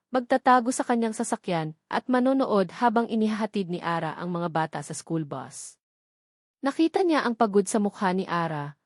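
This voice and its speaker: A woman storyteller delivers a scripted love story in a measured, dramatic way. Her voice sits at a middling pitch. The recording is very dry, with no room around it. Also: a little mellow, no echo. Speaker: story narrator. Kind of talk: scripted narration of a romance story.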